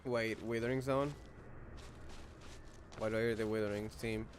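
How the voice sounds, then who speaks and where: A man's voice: lowish voice, fairly even intonation, moderate pace, a little hiss or hum. A man casually reacting to a game playthrough, thinking aloud and asking questions as he plays. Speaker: gaming streamer.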